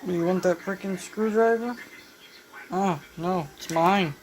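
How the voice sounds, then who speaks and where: A woman talking casually through a hands-on repair demonstration, halting and thinking aloud as she goes. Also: on the low side, faint music behind. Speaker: vintage electronics repair hobbyist. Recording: noisy home video, steady background noise. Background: clear background noise.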